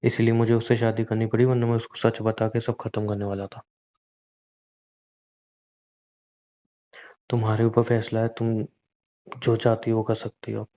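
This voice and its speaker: A man acting as a young, guilt-ridden husband in a relationship audio drama speaks with resigned earnestness. His delivery is fairly flat and gently bright-free, mellow and conversational, on dull lo-fi audio. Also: on the low side, fairly quick, close to an everyday voice. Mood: resigned, confessing. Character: weary, guilt-ridden cheating husband, resigned yet pleading. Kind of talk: naturalistic Hindi relationship audio drama, staged as a private conversation.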